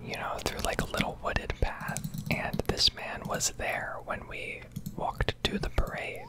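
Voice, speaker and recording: Whispering woman, rambling ASMR. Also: a little slow. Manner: rambling, casually sweary. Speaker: game streamer. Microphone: close microphone.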